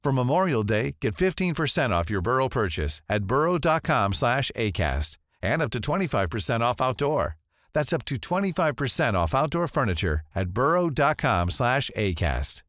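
A man gives a scripted ad read at a middle pitch. His intonation is lively and varied, recorded very dry. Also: normal speed, no room sound. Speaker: advertisement voice-over. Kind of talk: scripted ad narration.

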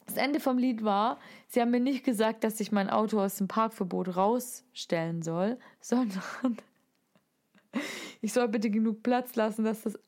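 A woman's voice: a little high, moderate pace, darkish tone. A woman telling anecdotes in a casual, enthusiastic, playful way, using slangy words as a podcast co-host.

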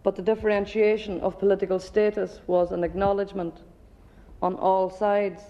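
Woman, workshop speaker, flat delivery, deliberate pace, dull echoey hall recording. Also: medium pitch. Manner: deliberate, explanatory speech.

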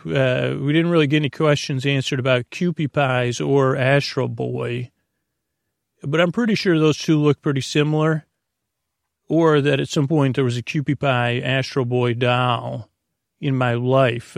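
A man hosting a bedtime podcast in a creaky voice, rambling, mumbling and stumbling through tangents. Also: medium pitch, dry recording, studio quality. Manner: meandering, mumbling, stumbling over words with tangents. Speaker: podcast host.

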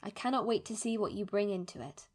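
A woman playing an anxious, wounded, fiercely loving middle-aged mother, reading her letters in a formal, measured way in a fantasy audio drama. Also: mid-range voice, a little mellow, husky, natural voice. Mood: tearful, wistful.

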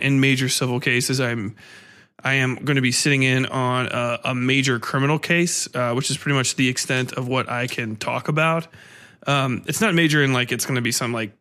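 A man's voice: medium pitch, fairly bright tone. A man hosting a podcast solo in a casual, rambling, profane and self-mocking way. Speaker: podcast host.

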